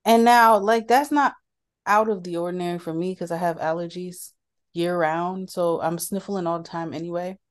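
A woman co-hosting a podcast in a casual chat, talking about her cold, animated and laughing often. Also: on the low side, moderate pace, clear, resonant tone. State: has a cold. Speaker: podcast co-host.

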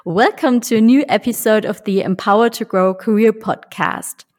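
A woman's voice: medium pitch, moderate pace. A woman hosts a career podcast. She sounds upbeat and friendly and laughs lightly between her questions. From Germany. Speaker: podcast host.

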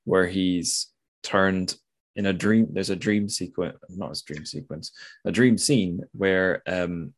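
A man's voice: deep voice, steady tone, takes it slowly. A man hosting a casual literary discussion, thinking aloud and correcting himself as he goes. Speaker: podcast host.

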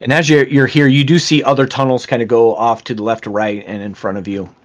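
Male game master, mid-pitch, hesitant descriptive narration. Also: flat delivery, a little fast.

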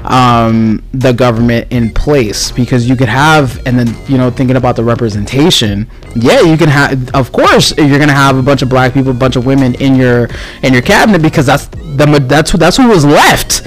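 A man of Cuban heritage in casual conversation, talking animatedly with quick asides and sudden jumps up in pitch when quoting others. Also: soft background music. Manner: animated, fast asides, voice jumps up for quoted remarks. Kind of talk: casual chat.

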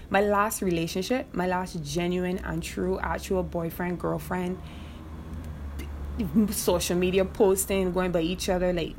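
A woman's voice: fairly low pitch, darkish tone, a little hiss or hum. A young woman with a Barbadian accent hosting a podcast, talking frankly and casually, swearing freely and often asking "you know what I mean.". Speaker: podcast host. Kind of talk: casual monologue.

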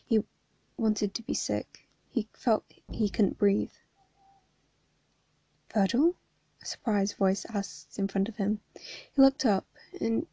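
A young woman, hoarse from hay fever, reading a story aloud and chatting casually between chapters. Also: medium pitch, mellow, dry recording. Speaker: fan fiction reader.

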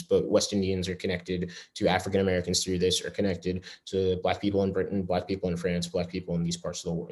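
A young man talks quickly in a lowish voice, rambling and restarting as he reflects in a casual interview. His delivery is earnest and loose. He piles clauses together rather than speaking in polished sentences. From New York. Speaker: interviewee, school alumnus.

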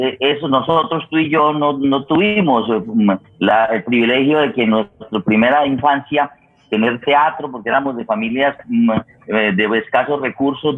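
A middle-aged man with a Colombian accent, hosting a radio forum with halting, deliberate, reflective explanations as he searches for words. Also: mid-range voice. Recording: thin, phone-like remote line.

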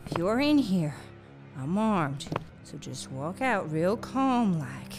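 A gritty woman scavenger of the Old West voiced by an actress talks wryly to herself in an audio drama. Her low voice is highly animated yet takes its time. She sounds wary and tough, with music underneath. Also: slow, heavily acted. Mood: guarded, wry. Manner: wry, talking to herself.

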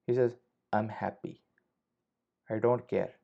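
A man's voice: on the low side, steady tone, slow pace, gravelly. A young man hosting a casual solo podcast, rambling with frequent swearing and restarts. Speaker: podcast host. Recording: slightly dull lo-fi audio.

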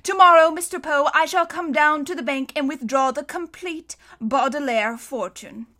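A woman voicing a menacing, scheming male count in an audiobook, with a loud, drawn-out, sneering delivery. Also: high voice, voice pushed up. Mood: smug. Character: menacing theatrical villain count, adult man, scheming and angry. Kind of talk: audiobook character voice.

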